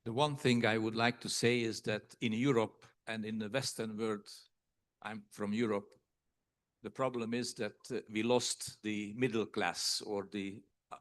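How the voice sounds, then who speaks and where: Halting low-voiced man from Hungary. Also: steady tone, dry recording. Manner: halting, many hesitations. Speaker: panelist. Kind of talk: panel discussion remarks. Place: conference hall.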